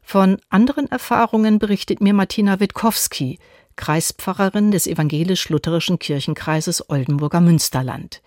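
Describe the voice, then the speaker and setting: A woman reporter narrating a scripted radio feature in a measured, even reading. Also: lowish voice, no room sound, studio quality.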